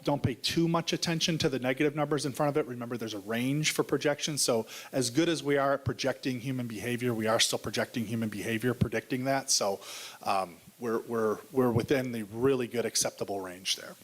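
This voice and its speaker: A man's voice: mid-range voice, brisk, low-quality recording. A man explaining assessment data to a school board in a conversational way, often repeating words while he thinks aloud. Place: board meeting room. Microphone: table microphone.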